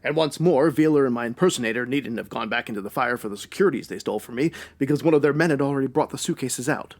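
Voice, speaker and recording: A put-upon superhero played by a man, dry and measured in a mid-pitched voice. He sounds defensive, in the manner of comic radio drama. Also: natural voice. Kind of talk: comic radio-serial parody acting.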